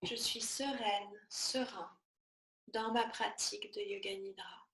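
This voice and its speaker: A woman teaching yoga nidra, guiding a relaxation meditation in a soothing, measured manner with short, spaced-out phrases. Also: medium pitch, steady tone, a bit bright, echoey room, rough recording.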